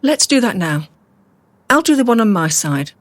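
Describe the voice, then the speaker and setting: An actress playing a calm, analytical captive woman in a radio drama, measured, rational and dry. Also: fairly high pitch, no echo. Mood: wry. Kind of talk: naturalistic radio drama acting.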